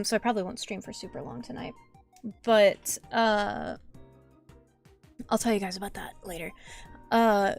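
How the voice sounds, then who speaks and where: A hoarse woman streaming as she draws, chatting in a rambling way with lots of fillers and restarts, swearing now and then. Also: a little high. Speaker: artist streamer. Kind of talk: casual chat while drawing on stream.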